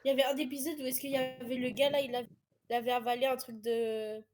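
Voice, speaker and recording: A woman's voice: high-pitched, little pitch movement, quick pace. A young woman chatting casually with friends in a blunt, slangy way. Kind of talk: casual group chat.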